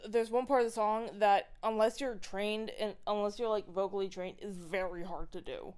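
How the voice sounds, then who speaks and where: A woman's voice: mid-range voice, steady tone, moderate pace, darkish tone, clear, resonant tone. A woman co-hosting a podcast, chatting casually with a joking, sweary manner. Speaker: podcast co-host. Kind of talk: casual chat.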